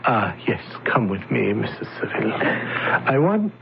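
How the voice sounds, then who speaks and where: An actor playing a calm, solemn male alien envoy in a radio drama, speaking slowly and formally. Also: fairly low pitch, creaky, noisy background, exaggerated voice. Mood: explaining. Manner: slow, measured, formal. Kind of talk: 1970s radio drama acting. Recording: dull lo-fi old radio broadcast.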